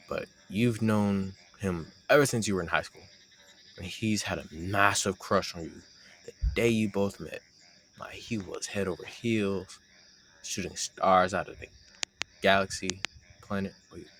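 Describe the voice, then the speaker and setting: Noticeable background noise sits under a very low young male voice, acted by a man. He is a shy, teasing friend with a secret crush, speaking haltingly and intimately in a romantic audio roleplay. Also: fairly bright tone. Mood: playful, nervous.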